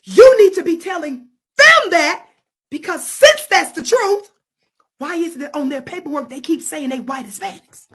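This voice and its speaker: A woman's voice: very high-pitched, animated, moderate pace, a bit bright. A woman ranting in a sassy, scolding tone that mocks the people she is answering. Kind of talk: combative online rant.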